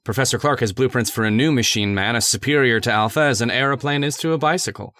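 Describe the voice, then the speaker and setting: A man's voice: medium pitch, fast talker, sharp tone, no room sound, studio quality, close to an everyday voice. A man playing an earnest, persuasive laboratory assistant in a radio drama, polite and formal. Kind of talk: old-time radio drama acting.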